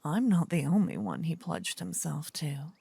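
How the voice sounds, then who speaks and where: A woman's voice: low voice, dark tone, no echo, full character voice. A woman voicing a seductive, possessive demon queen in an intimate fantasy ASMR roleplay, often whispering, with teasing, smug menace. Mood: smug, explaining. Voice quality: breathy, husky, often whispering. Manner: slow, teasing, doting menace. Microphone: close, dry microphone.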